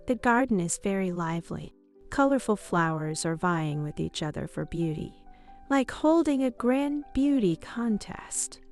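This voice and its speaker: A woman reading a scripted children's bedtime story in an expressive read-aloud manner. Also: medium pitch, unhurried. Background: music.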